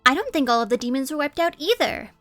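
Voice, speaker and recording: Devoted schoolgirl played by a woman: high-pitched, supportive, melodramatic, light music underneath. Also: sing-song, fast, fairly bright tone. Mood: confiding. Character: supportive, dramatic schoolgirl admirer. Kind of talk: scripted animated roleplay acting.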